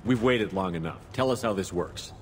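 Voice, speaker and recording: A man's voice: on the low side, normal speed, in a large hall, a little hiss or hum, close to an everyday voice. A man playing a firm, direct human military commander in a video game cutscene. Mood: resolute. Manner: direct, assertive.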